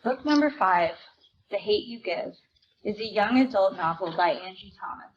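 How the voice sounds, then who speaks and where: A woman's voice: mid-range voice, lively intonation, normal speed, highs cut off, slight background noise. A woman presenter reading a scripted book introduction in a formal, announcing manner.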